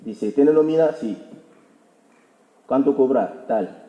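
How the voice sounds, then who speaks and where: A man's voice: mid-range voice, highs cut off. Hesitant man in an echoey hall. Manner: halting, repeats words, asks the audience "¿vale?". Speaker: intercultural mediator presenting the event. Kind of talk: informal public talk with anecdote. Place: auditorium hall.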